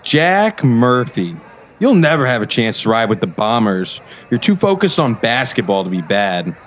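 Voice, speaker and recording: A man's voice: mid-range voice, big pitch swings, moderate pace, lightly acted. An actor playing a boastful, bullying teenage boy who leads a gang in an audio drama. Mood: taunting, bossy. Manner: boastful, refers to himself in third person. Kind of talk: scripted audio drama acting.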